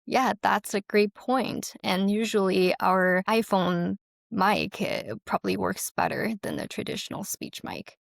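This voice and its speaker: Creaky-voiced woman explaining a product. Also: mid-range voice, unhurried, no echo, clean studio sound. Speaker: company representative, interview guest.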